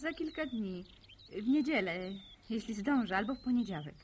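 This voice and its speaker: An actress playing a polite, composed village schoolteacher in a radio drama, speaking courteously with gentle, educated diction. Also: female voice, a little high, expressive, moderate pace, a little hiss or hum, close to an everyday voice. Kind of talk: naturalistic radio drama acting.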